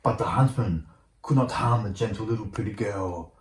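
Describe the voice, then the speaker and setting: A man's voice: lowish voice, normal speed. A man reading a storybook aloud like a father at bedtime, occasionally stumbling over the words. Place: indoor room at home. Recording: roomy home recording, faint noise.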